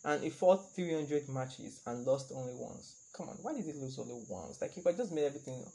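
A man's voice: medium pitch, expressive, a little fast, creaky, dark tone. A young man hosting a casual solo podcast, chatty and rambling, laughing at his own remarks. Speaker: podcast host.

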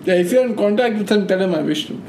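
A man's voice: high voice, animated, fast, a little mellow. A man, a podcast guest talking casually with lots of fillers and restarts. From India. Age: 20s. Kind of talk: casual conversation. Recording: echoey room, faint noise.

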